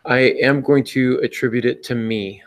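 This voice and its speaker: A man's voice: medium pitch, fairly even intonation, normal speed. A man hosting a podcast with casual, joking chat, enthusiastic and somewhat rambling. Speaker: podcast host.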